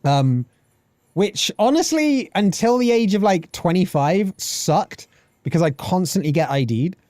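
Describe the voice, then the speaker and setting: A man's voice: high voice, unhurried, no room sound, clean studio sound. A British man in his thirties chatting casually on a game live stream, swearing freely and reading chat aloud. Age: 30s. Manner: animated, swearing, reads chat aloud and laughs often.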